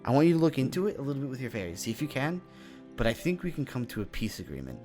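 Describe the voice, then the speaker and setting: A man playing a confident young demon mage in an improvised Minecraft fantasy roleplay, speaking in a halting, conversational way and often checking for agreement. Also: medium pitch, big pitch swings, darkish tone, close to an everyday voice. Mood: determined. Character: confident young male demon mage, casual, secretive, determined. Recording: voice over background music.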